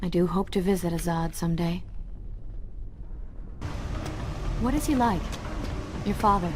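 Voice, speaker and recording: A woman's voice: lowish voice, fairly quick, noisy background, lightly acted. An actress playing a curious, wondering female companion to a hero in a video game cutscene. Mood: gently curious. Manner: wondering, inquisitive. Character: curious adult female companion of a warrior prince.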